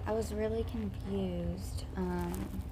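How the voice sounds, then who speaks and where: A woman's voice: mid-range voice, steady tone, takes it slowly, very noisy. A young woman, rambling through a casual vlog into her phone camera, full of fillers and restarts. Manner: rambling, filler-heavy storytelling. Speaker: vlogger. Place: parked car, then a home living room at night. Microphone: phone camera close up.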